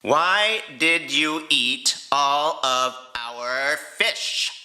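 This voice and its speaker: A man's voice: fairly high pitch, lively intonation, drawn-out words, pushed and bright, pitched above the performer's own voice, exaggerated voice. A man voicing an angry young dragon rider who scolds his pet indignantly in an audio storytelling. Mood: exasperated, angry.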